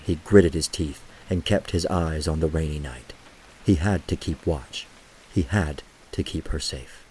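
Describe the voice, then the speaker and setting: A man narrating a scripted story for an audio drama, with a husky voice and a measured, gentle storytelling manner. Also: a bass voice, a little hiss or hum, low-quality recording.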